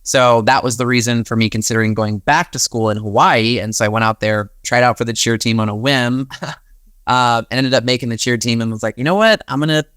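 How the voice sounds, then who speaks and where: A man's voice: mid-range voice, little pitch movement, a little fast, fairly bright tone, clean tone, dry recording, studio quality. A young American man telling stories in a chatty, conversational way as an interview guest, often acting out his own thoughts as quotes. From United States. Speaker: podcast guest, winery and wedding venue manager.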